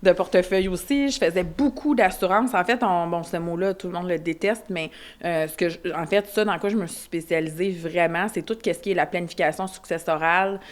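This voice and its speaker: A woman's voice: lowish voice, fairly quick. A woman in her forties with a Québécois French accent, talking candidly as a podcast guest, with many fillers and restarts. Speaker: insurance advisor guest. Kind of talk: casual podcast conversation.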